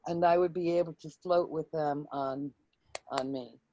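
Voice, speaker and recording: A woman speaking in a reflective, halting way, as in reading a poem or recalling personal experiences. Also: sits low, steady tone, dark tone, highs cut off, slight background noise.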